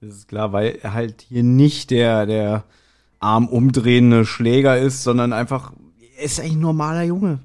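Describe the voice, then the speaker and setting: A man's voice: medium pitch, steady tone, normal speed, a little mellow. A man co-hosting a podcast, explaining his views in a colloquial, casual review chat. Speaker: podcast co-host. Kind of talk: casual review chat about audio dramas.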